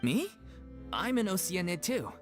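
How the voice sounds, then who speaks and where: A man's voice: high-pitched, sing-song, a little slow, over loud music. A man playing an easygoing, jovial, food-loving stage actor in a video game.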